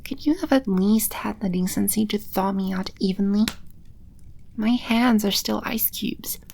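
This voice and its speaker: A woman playing a haughty, scornful female demon in a scripted audio roleplay, condescending and sardonic, with breathy edges to her voice. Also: medium pitch, measured pace, a little mellow, slight background noise. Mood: indignant, scornful.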